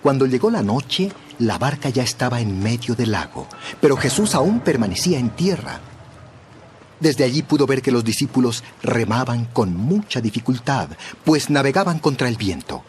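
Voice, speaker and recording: A man narrating a dramatized Bible story in a measured, expressive storytelling style. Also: mid-range voice, a little hiss or hum.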